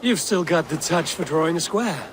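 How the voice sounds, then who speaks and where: An actor playing a seasoned Viking warrior who speaks in a measured, commanding way. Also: male voice, a little high, animated, background noise, close to an everyday voice. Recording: game cutscene with loud ambience.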